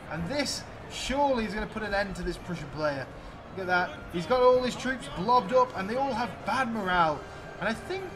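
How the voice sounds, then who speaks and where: A man giving excited, exclamatory play-by-play commentary on a video game battle, addressing his viewers. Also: high voice.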